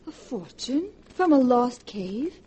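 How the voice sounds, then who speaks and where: An actress playing an anxious wife in a 1940s radio drama, hesitant and dramatic. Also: female voice, a little high, very animated, slow pace, mellow, muffled recording. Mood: anxious questioning. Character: anxious wife drawn into her lover's scheme. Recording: hissy 1940s radio recording.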